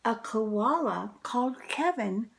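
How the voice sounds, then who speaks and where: Female storybook reader, teacher type: very unhurried, lively swooping pitch, touches of creak, dramatic delivery. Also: background noise. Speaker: teacher reading a picture book to children. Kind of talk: expressive storybook read-aloud.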